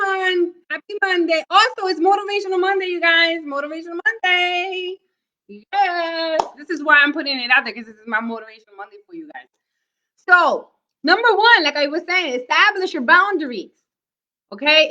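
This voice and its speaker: A high, bright and forceful woman's voice talks straight to her viewers. She is loud and emphatic, giving motivational tips in a casual way and pausing with "okay?" after each point. The recording sounds dull and lo-fi. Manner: emphatic, keeps asking "okay?". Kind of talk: casual motivational talk to camera.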